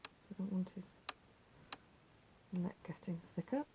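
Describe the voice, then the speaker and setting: A woman with a slightly croaky voice, patiently talking viewers through a craft step by step and often dropping to a near whisper. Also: lowish voice, a little slow, dark tone, a little hiss or hum. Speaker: needle felting teacher. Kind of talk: hands-on craft tutorial.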